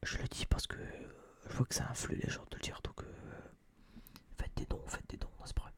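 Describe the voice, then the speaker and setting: A young man whispering a casual, slangy, rambling review in breathy ASMR style, close to a binaural microphone. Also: normal speed. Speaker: ASMR video creator.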